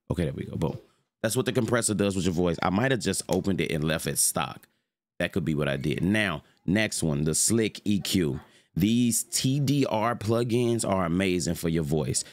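A young man with a deep voice giving a loose, rambling, slangy tutorial on his streaming setup from his home room. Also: no room sound. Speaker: streamer showing mic settings. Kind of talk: casual screen-recorded tutorial.

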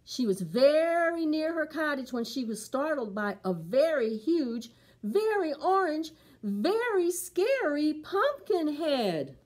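A middle-aged woman reading a picture book aloud for preschool storytime, with deliberate, dramatic emphasis on sound words. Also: high voice, very animated, darkish tone. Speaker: library storytime reader.

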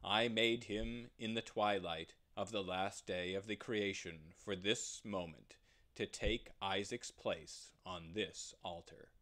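A man voicing God as a grave, authoritative male figure, measured and solemn. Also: on the low side, sharp tone. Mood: commanding.

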